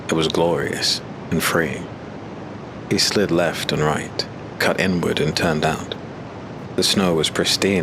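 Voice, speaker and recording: Very deep-voiced male narrator. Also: noisy background. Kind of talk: scripted audiobook narration.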